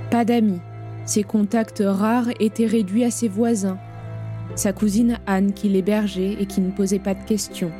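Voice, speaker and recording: A woman narrates an audio drama in a measured, grave way. Her voice is mellow and dark, at a middle pitch for a woman. Soft music plays faintly behind her.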